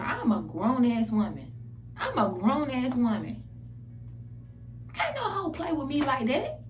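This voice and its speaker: High-voiced blunt woman, echoey and distant. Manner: blunt, profane, emphatic, repeats phrases. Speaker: self-help vlogger. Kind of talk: casual motivational monologue. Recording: echoey, distant mic, background noise.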